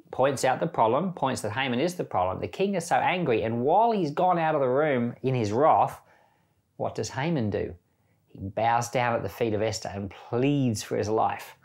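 A middle-aged man who reads a Bible passage aloud, then talks it through in a relaxed way, thinking aloud with small fillers. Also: mid-range voice. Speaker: church Bible reader. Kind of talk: scripture reading and informal commentary.

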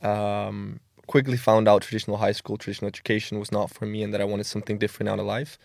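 A man's voice: on the low side, completely flat delivery, gravelly, no room sound, studio quality. A man of twenty-one from Denmark, talking earnestly as a podcast guest and often repeating words as he goes. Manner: earnest, quick, with frequent word repeats. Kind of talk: casual interview talk.

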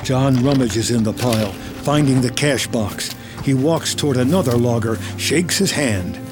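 A man reads scripted film narration, giving present-tense stage directions in a dramatic, deliberate delivery. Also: mid-range voice, over music. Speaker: screenplay narrator.

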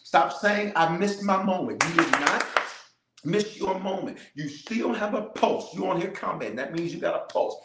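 A man's voice: high-pitched, expressive, normal speed, echoey room, noisy background. A man, a bishop, leading a livestreamed prayer with fervent, repetitive declarations and calls to the viewers. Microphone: cameras in front of him.

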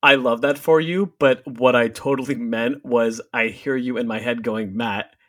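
A man's voice: steady tone, moderate pace, fairly bright tone, no echo. A man co-hosting a casual podcast, teasing and dry in his humor. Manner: dry, teasing, drops low when joking. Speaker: podcast co-host. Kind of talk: casual podcast chat and interviewing.

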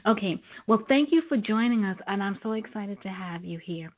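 A woman hosting a live internet talk radio show with a halting, reflective delivery. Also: mid-range voice, animated. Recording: phone-like lo-fi internet radio line.

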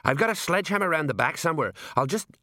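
Guy doing a slow-witted copper sergeant, plodding homely talk, suspicious, audiobook, dry sound. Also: on the high side, expressive, sharp tone, no room sound, pitched above the performer's own voice. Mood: stolid, doubtful. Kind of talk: audiobook character voice.

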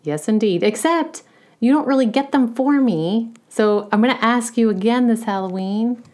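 An adult woman with a loud voice, co-hosting a casual chat in a playful, teasing way. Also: on the high side, animated, normal speed. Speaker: podcast co-host.

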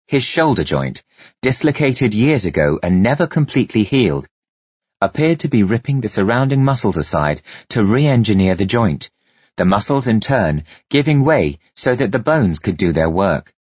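A man's voice: on the low side, very animated, moderate pace, a little mellow, breathy edge, dry recording, highs cut off. A man reading scripted story narration as an audiobook narrator, with dramatic delivery.

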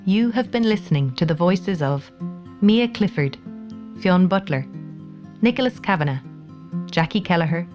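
A woman's voice: lowish voice, expressive, moderate pace, pauses often, a little mellow, faint music behind. A woman reading scripted credits as the announcer at the close of an audio drama.

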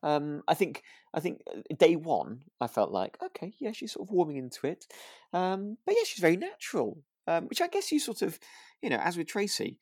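A man co-hosts a relaxed chat show in a dry, intimate-sounding recording. His intonation moves around a lot. He feels his way through sentences, often stopping and starting again. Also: on the high side, brisk, no room sound. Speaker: podcast co-host; actor. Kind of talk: casual chat recapping a radio drama.

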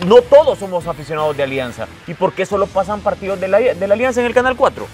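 A man's voice: high voice, lively intonation, fast, a little mellow, soft background music. A man hosting a casual sports chat, playful, laughing often and reading viewer questions aloud. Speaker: host.

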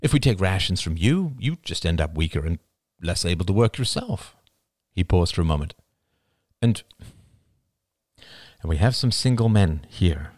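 A man voicing a calm adult son who answers in a low, measured, reluctant way in an audiobook. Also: no room sound, studio quality. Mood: reasoning. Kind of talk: audiobook character voice.

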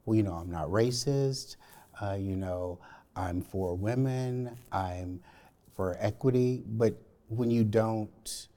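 Male guest from Alabama, mellow dark tone, on the low side, measured and explanatory. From Alabama, United States. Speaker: podcast guest. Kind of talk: conversational discussion.

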